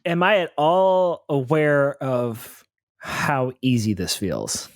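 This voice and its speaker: Very animated man at a game table. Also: medium pitch, slow. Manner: hesitant, thinking aloud. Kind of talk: casual table talk.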